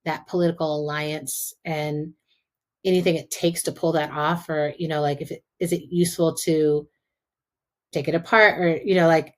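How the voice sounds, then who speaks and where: A woman's voice: low voice, little pitch movement, normal speed. An American woman hosting a conversational interview, speaking informally and reflectively as she thinks aloud. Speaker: podcast host and interviewer.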